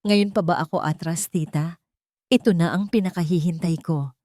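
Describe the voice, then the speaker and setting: A woman voicing a composed, defiant young woman in an audio love drama, calm, cutting and deliberate. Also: lowish voice, no room sound, studio quality, lightly acted. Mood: confident.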